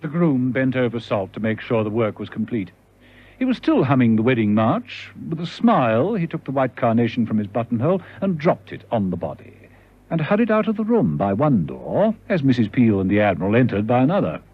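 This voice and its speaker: A man's voice: mid-range voice, sing-song, brisk, breathy edge. A man narrating a scripted radio spy drama with wry, dramatic storytelling. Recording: noisy, muffled old radio drama recording.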